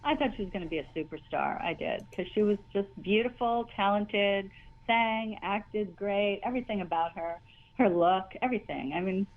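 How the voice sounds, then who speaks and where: Chatty high-voiced older woman, dull audio. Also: normal speed. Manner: chatty, laughing, rambling with restarts. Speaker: actress and interview guest. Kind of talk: casual interview chat.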